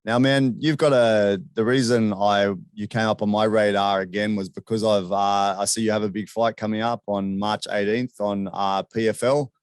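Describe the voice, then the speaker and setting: A man's voice: lowish voice, steady tone, normal speed, hardly any pauses, clean tone, no echo. A man hosting a casual sports interview in a chummy, informal way. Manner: chummy, informal, often addressing guest as "man". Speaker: interviewer, show host.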